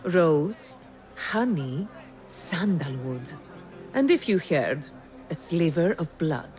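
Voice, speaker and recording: An actress playing a poised, shrewd and enigmatic perfumer in a video game, elegant and formal in her measured speech. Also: deep voice, big pitch swings, a little mellow, soft background music, exaggerated voice. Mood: poised, probing. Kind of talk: dramatic game cutscene acting.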